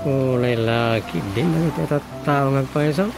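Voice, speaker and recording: A village councillor in an Ilocano radio comedy. His creaky voice is mid-pitched, conversational and a bit grumbling, with an Ilocano accent. Loud music sits behind it. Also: measured pace, rough recording. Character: village councillor, the chairman's aide.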